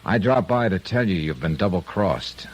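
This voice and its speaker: An American actor playing a tough, cool investigator in a 1950s radio detective drama, clipped, terse and world-weary. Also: male voice, low-pitched, expressive, normal speed, a little hiss or hum. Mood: cool, hard. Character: tough, cool insurance investigator, male, hard-boiled. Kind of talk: 1950s detective radio drama acting with first-person narration. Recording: vintage radio drama recording.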